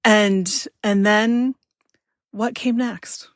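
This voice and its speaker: A woman's voice: medium pitch, steady tone, drawn-out words, dry recording, clean studio sound. An American woman chatting casually as the host of an interview.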